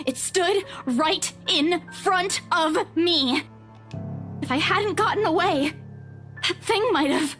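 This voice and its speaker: A woman's voice: very high voice, takes it slowly, a bit bright, full character voice. An actress playing a frightened, defensive young woman in a visual novel, pleading insistently to be believed. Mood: desperate, pleading. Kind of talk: dramatic visual novel voice acting. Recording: music under the dialogue.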